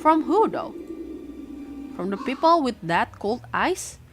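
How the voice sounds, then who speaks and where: A woman's voice: fairly high pitch, takes it slowly. A young woman streamer whose first language is Indonesian, reading a visual novel aloud and breaking off to react and greet her chat. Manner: reads the story dramatically, breaks off for excited reactions and greetings to chat. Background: game music.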